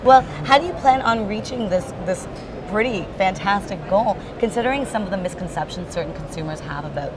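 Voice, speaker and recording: A woman's voice: medium pitch, lively intonation, a little fast. A woman interviewing a guest in a casual, affirming business conversation. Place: busy hosting industry event. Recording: noisy event location, traffic-like ambient noise.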